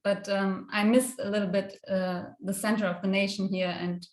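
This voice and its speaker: A woman from Europe speaking as a conference panelist in a spontaneous academic discussion, halting and searching for words. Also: mid-range voice, flat delivery, a little mellow. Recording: echoey room sound.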